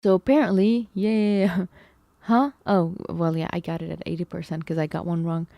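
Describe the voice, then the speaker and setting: A woman's voice: on the low side, steady tone, moderate pace, vocal fry, warm tone, no echo. A woman live-streaming, thinking aloud over math problems, second-guessing herself and chatting with viewers. She feels her voice is going. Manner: talks to chat, muses and second-guesses herself.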